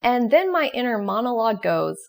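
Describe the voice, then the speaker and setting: Animated, creaky-voiced woman presenter. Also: fairly high pitch, a little fast. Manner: expressive, questioning. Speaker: presenter of educational psychology videos. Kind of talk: scripted explanatory narration with casual asides.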